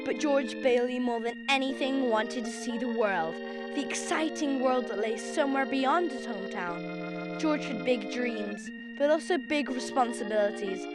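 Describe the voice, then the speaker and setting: Narrator: young woman, high voice, scripted radio-drama storytelling, prominent music underneath. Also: unhurried. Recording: music behind the voice.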